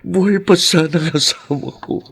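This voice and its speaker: A man's voice: high voice, moderate pace, creaky, slightly rough, full character voice. A man with a Filipino accent playing a grief-stricken, drunken middle-aged widower, bitter and harsh, in a radio drama. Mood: anguished, bitter.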